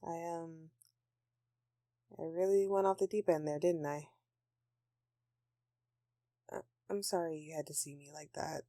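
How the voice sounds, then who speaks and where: A woman's voice: sits low, fairly even intonation, measured pace, long pauses, mellow, no room sound, clean studio sound. A woman playing a grumpy but affectionate Christmas elf in an intimate audio roleplay, with a sarcastic, dramatic, sighing delivery. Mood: sheepish, tender.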